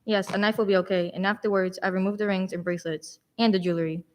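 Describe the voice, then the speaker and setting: A woman acts a hired killer in a radio drama. The delivery is quick, clipped and businesslike, with a long hall reverb around the voice. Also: mid-range voice, steady tone, darkish tone, lightly acted. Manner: clipped, matter-of-fact. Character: businesslike hired killer named George, male. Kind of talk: stage radio play acting.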